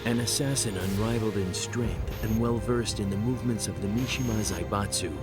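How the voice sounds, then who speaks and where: A man's voice: on the low side, fairly quick, lightly acted. A man reading scripted dramatic narration as the narrator of a video game story. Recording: music behind.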